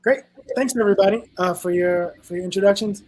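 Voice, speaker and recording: A man moderating a dance panel over a video call, speaking in a measured way with small restarts. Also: high voice, darkish tone. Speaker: panel host.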